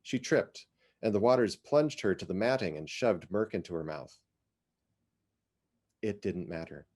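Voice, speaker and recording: A man giving a dramatic reading of literary fiction, with expressive and deliberate phrasing. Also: deep voice.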